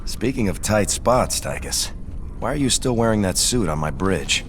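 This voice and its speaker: An actor playing an earnest, dutiful starship officer with a formal, serious manner, in a video game cutscene. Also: male voice, fairly low pitch, animated, normal speed, slight background noise, natural voice. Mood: stiff, disapproving.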